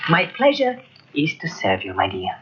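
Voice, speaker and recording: An animated devoted young Edwardian husband in radio melodrama. He is tender and eager to please, heard on a dull vintage recording. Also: a little high, moderate pace, breathy edge, exaggerated voice. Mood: indulgent, tender. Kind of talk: old-time radio acting.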